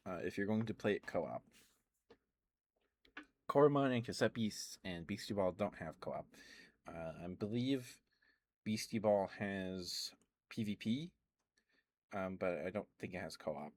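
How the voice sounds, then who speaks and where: A man's voice: deep voice, animated, measured pace, long pauses, darkish tone, slight background noise. A man streaming ASMR, rambling casually to live viewers with frequent ums and little laughs. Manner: meandering, chatty, lots of ums and little laughs.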